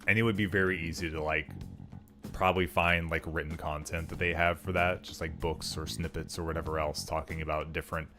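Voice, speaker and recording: A young man with a deep, low voice talks casually on a stream, wandering through his thoughts and peppering every sentence with filler words. Faint music plays underneath him. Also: flat delivery, a little fast, pushed and bright. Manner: rambling, heavy on "like" fillers. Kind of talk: casual livestream chat.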